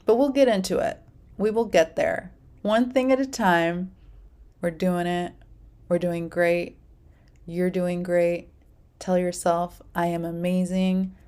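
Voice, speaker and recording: A woman's voice: on the low side, steady tone, takes it slowly. A woman hosting her own podcast, talking casually and encouragingly to listeners and reciting affirmations. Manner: gentle, encouraging, speaks in affirmations. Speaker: podcast host. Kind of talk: casual solo podcast talk.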